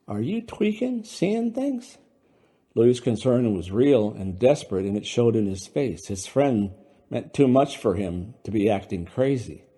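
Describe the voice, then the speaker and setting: Casting: male author-narrator for fiction, deep-leaning pitch, dark and raspy tone. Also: moderate pace. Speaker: author reading his own story. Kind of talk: scripted storytelling narration.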